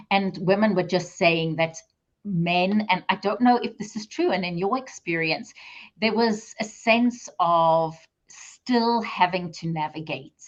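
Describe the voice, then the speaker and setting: A woman's voice: fairly low pitch, dull audio. A middle-aged woman hosting a live video call interview, chatty and enthusiastic. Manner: enthusiastic, talkative. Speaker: interview host.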